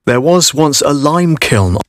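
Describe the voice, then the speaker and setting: A middle-aged British man reading scripted documentary narration in a measured, storytelling way. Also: on the high side, expressive, dry recording, studio quality. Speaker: voice-over artist. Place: vocal booth. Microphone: studio condenser microphone.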